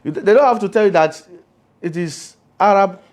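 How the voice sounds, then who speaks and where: A man with a Nigerian Yoruba accent explains things in a dark, mellow voice. He speaks emphatically, like a priest being interviewed, and keeps checking that his listener follows. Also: sits high, moderate pace. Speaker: Ifa priest, interview guest.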